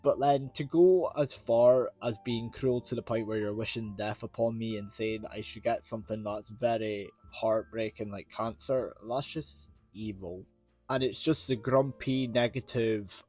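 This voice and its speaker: A man's voice: lowish voice, a little mellow, breathy edge. A man with a Scottish accent talking casually to his viewers as a YouTuber, in an earnest, rambling way that circles back over points. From Scotland. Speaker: YouTuber reviewing children's TV shows. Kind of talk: casual talk to viewers about own channel.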